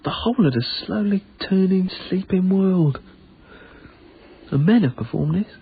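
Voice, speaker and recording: A British actor playing an earnest, emotional young Victorian working man in a radio drama, with halting, formal phrasing. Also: high voice, animated, vocal fry, husky, full character voice. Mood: awestruck. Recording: narrow tinny band, faint background noise.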